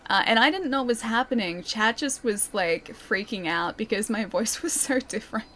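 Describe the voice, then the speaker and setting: A woman's voice: fairly high pitch, lively intonation, moderate pace, fairly bright tone, slight background noise. A woman livestreamer chatting casually while playing a game, reading viewer comments aloud and giggling often. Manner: chatty and giggly, with many fillers like "like" and "dude".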